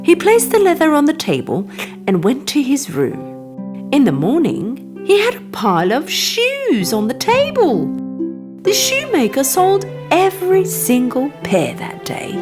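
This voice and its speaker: A woman narrates a children's fairy tale with a very lively, swooping delivery and a fairly high voice. Clear music plays behind her as she tells it expressively. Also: measured pace. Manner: expressive, animated storytelling. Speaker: storyteller. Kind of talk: scripted bedtime story narration for children.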